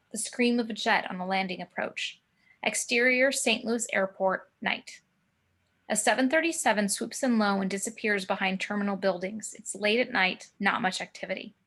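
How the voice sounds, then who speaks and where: A woman's voice: medium pitch, a bit bright. A woman giving scripted narration of screenplay action and scene directions at a table read. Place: remote video call.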